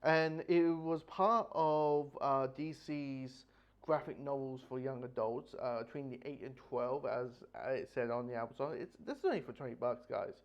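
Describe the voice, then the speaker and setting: A man giving a casual, unscripted review, rambling and halting with repeated phrases, blunt and casually sweary. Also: mid-range voice, lo-fi sound. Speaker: book reviewer.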